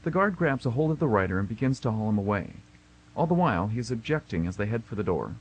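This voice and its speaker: A man's voice: lowish voice, big pitch swings, fast, husky, dull audio. A man narrating a radio play, reading out the stage directions.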